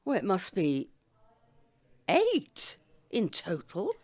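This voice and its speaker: An actress playing a shrewd, brisk old care home resident in an audio drama, matter-of-fact and wry. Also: female voice, mid-range voice, huge pitch swings, a little mellow, full character voice. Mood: determined. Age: older. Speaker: actor.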